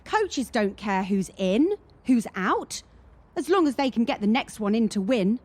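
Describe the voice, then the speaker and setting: An actress playing a wary, cynical young gymnast in a radio drama, with a deliberate, emphatic delivery. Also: female voice, on the high side, sing-song, a little mellow. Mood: cynical warning. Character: wary, cynical female gymnast warning her teammate.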